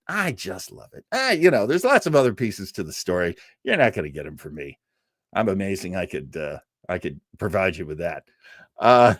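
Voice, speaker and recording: A man's voice: mid-range voice, no room sound. American man hosting, dry close sound. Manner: enthusiastic fan storytelling. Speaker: host of an old-time radio show; performer. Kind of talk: casual livestream talk to viewers.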